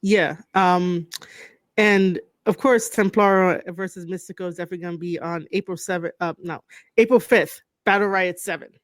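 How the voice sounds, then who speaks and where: A woman's voice: fairly low pitch, normal speed, no room sound. Easygoing woman hosting, dry close sound. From Puerto Rican heritage. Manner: relaxed, filler-heavy, easygoing. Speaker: podcast host. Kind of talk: casual wrestling review chat.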